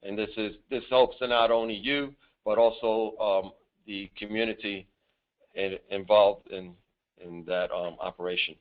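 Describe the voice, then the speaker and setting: Male government presenter, formal and deliberate, halting phrasing, narrow phone-line sound. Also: fairly low pitch, fairly even intonation. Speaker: government disaster assistance official presenting. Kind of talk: formal scripted presentation with some improvised asides. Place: office, on a conference call. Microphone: telephone.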